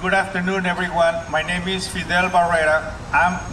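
A man's voice: high voice, flat delivery, moderate pace, echoey room, far from the mic, background noise. Polite man. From Ecuador. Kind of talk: short spoken greeting.

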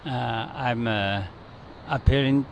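A man from Taiwan, interviewed at a parade, speaking in halting, broken English. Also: mid-range voice, gravelly, slight background noise.

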